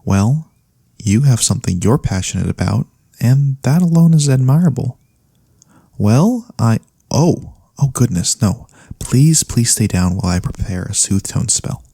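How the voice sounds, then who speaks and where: A man playing a gentle, caring elf cleric in a soft-spoken ASMR fantasy roleplay, soothing and attentive, with slightly formal phrasing. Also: medium pitch, big pitch swings, a little slow, gravelly, breathy edge, no room sound, clean studio sound, exaggerated voice. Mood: earnest, tender, then gently reassuring. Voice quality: soft-spoken, breath-light delivery. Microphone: close studio USB condenser microphone.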